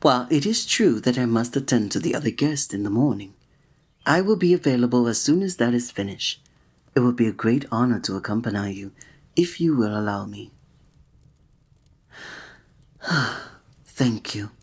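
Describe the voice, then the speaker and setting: Man playing a soft, breathy, gallant fantasy innkeeper. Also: medium pitch, expressive, normal speed. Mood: polite, attentive. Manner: formal, old-fashioned, gallant, gentle phrasing. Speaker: ASMR roleplay performer. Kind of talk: scripted romantic ASMR roleplay.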